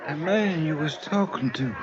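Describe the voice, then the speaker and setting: A man's voice: fairly high pitch, expressive, moderate pace, crowd noise, natural voice. An actor playing a kindly, wary old man in a 1950s radio drama, folksy and cautioning. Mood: gently warning. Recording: dull old radio recording.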